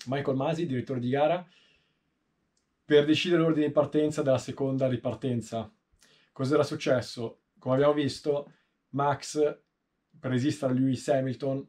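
A man in his thirties with a mid-pitched voice talks through race analysis informally. He explains things in a measured way and often pauses partway through a sentence. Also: darkish tone. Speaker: motorsport video host. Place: small indoor room, seated in a sim racing seat.

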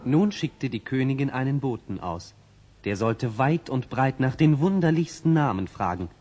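A man's voice: medium pitch, animated, moderate pace, darkish tone, close to an everyday voice. A man narrating a fairy tale in a scripted, old-fashioned children's audio play. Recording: old vinyl record, faint noise.

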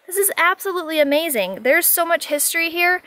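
High-voiced, gushing woman vlogger. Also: expressive, brisk, sharp tone, no echo. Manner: enthusiastic, gushing. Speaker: RV travel vlogger. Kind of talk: casual vlog narration. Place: outdoors on a ranch.

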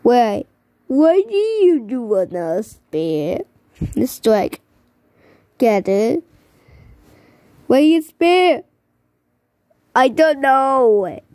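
Excitable woman with lively high voice. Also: extremely slow, long pauses, no echo, clean studio sound. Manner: excitable, exclaiming, giggly. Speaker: gamer. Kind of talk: excited gameplay commentary.

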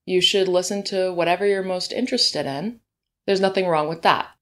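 A woman hosting her own podcast, talking casually with chatty asides, her voice hoarse and a bit deeper in the early morning. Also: moderate pace, a bit bright. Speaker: podcast host. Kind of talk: solo podcast monologue. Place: home room, birds outside.

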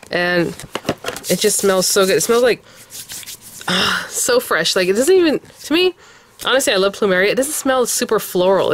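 A woman vlogger from California chatting casually and eagerly while showing off her shopping haul at a craft table. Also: mid-range voice. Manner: chatty and enthusiastic, rambling with fillers and laughs. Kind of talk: casual show-and-tell haul chat.

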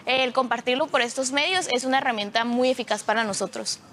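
A woman's voice: high-pitched, fast, a bit bright. A woman, speaking as a student guest in a live TV interview. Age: 20s. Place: indoor television studio.

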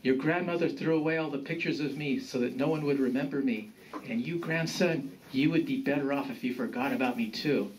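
A man's voice: on the high side, normal speed, pitched above the performer's own voice, natural voice. A man voicing a grumpy, cynical dead grandfather in a story, blunt and needling. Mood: weary, scolding. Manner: blunt, scolding, needling with questions. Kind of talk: storytelling character voice. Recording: distant mic with background noise.

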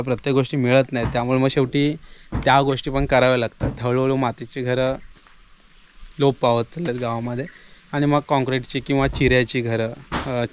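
A man's voice: medium pitch, a little hiss or hum. A man in his thirties from the Konkan coast with a Konkani Marathi accent, chatting casually to viewers while holding a camera at arm's length outdoors in a village. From Konkan, Maharashtra, India. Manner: relaxed, chatty, addresses viewers directly. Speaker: village vlogger. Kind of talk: casual vlog narration to camera. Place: outdoors in a rural village yard. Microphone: handheld camera at arm's length.

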